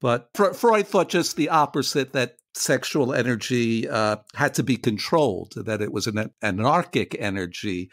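A man's voice: medium pitch, expressive, normal speed, hardly any pauses, no room sound, studio quality. A man interviewing on radio in a conversational way, summarizing ideas and putting questions.

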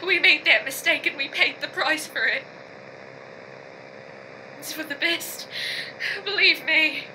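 A woman playing a frightened, grieving astronaut engineer in a science fiction audio drama, short of breath and speaking in broken sentences. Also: sits high. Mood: pleading, fearful. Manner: halting, broken sentences with repeated words. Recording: faint hum and noise behind.

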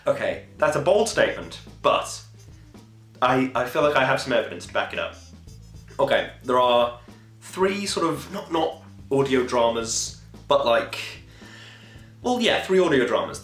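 A man's voice: medium pitch, a bit bright. A man in his twenties giving a casual, rambling, enthusiastic review to camera, with a self-deprecating and digressive manner. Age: 20s. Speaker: vlogger and podcast host. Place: small home room, indoors. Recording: echoey room with music behind.

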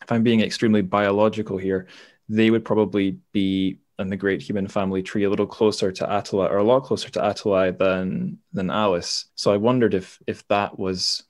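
A man's voice: lowish voice, level tone, fairly quick, dry recording, studio quality. A man hosting an interview in a casual, tentative and slightly self-deprecating way. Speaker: podcast host, interviewer.

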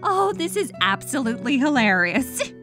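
A woman's voice: high voice, normal speed. A woman playing a teasing, giggly young unicorn student in human form for a fan fiction audio drama. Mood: amused. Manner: animated, amused. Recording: music playing behind voice.

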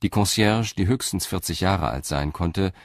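A male narrator with a deep, low voice tells a crime story for radio. His delivery is measured and matter-of-fact, and the studio sound is dry, without room echo.

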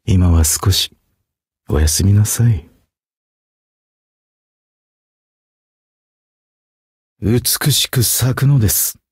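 An actor voicing a suave, poetic masked fox phantom thief in a video game, with flowery, courtly, dramatic phrasing. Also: male voice, lowish voice, expressive, measured pace, a little mellow, slightly rough, dry recording, clean studio sound, exaggerated voice. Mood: gently soothing. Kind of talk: stylized Japanese game character voice acting.

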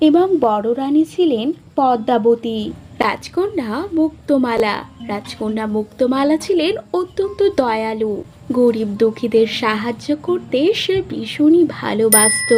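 Female storybook narrator, high pitch, unhurried scripted delivery over music. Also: darkish tone, a little hiss or hum. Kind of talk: scripted fairy-tale narration.

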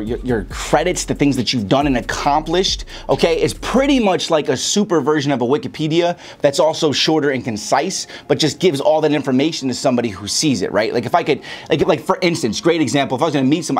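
Fast-talking young American man, casual and emphatic. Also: medium pitch. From Chicago, United States. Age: young adult. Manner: informal, slangy, emphatic, often checking in with the listener. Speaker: host of a music marketing video for rappers. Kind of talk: casual instructional talk to camera. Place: small home studio room, indoors.

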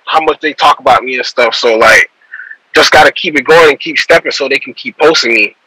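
A man's voice: mid-range voice, a little fast, bright, forceful tone, husky, no room sound, low-quality recording. A young adult man, a rapper guest on a video call, talking in a loose, rambling, slangy way that trails off mid-sentence. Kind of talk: casual interview talk.

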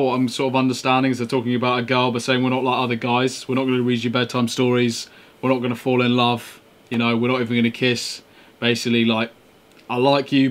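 A man's voice: mid-range voice, a bit bright. A young man giving casual, slangy, laid-back commentary as a music reaction host, speaking in a bedroom. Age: young adult. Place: small bedroom indoors.

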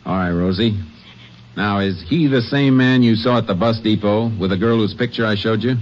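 An actor playing a stern, shrewd Texas Ranger with an American accent, dry and matter-of-fact, in an old-time radio drama. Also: male voice, fairly low pitch, moderate pace, slight background noise, natural voice. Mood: stern, probing. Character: stern, shrewd male Texas Ranger narrating his case. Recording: muffled vintage radio recording, music behind.

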